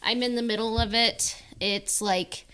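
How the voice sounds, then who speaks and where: A woman co-hosting a podcast in casual chat, halting and peppering her talk with "like". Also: on the high side, fairly even intonation, sharp tone, clean tone. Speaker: podcast co-host.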